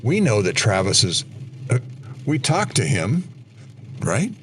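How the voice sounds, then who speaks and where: A man's voice: fairly low pitch, sing-song, slow pace, a little hiss or hum. A man playing an anxious, insistent listener in an audio drama, urgently pleading to hear more.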